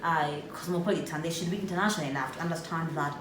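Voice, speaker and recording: A woman hosts a casual interview, her voice sitting low and warmly encouraging. She sits away from the mic, so the room's echo and a steady background noise come through. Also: racing. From Uganda. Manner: encouraging, lots of affirming hums. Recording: echoey room, far from mic, background noise.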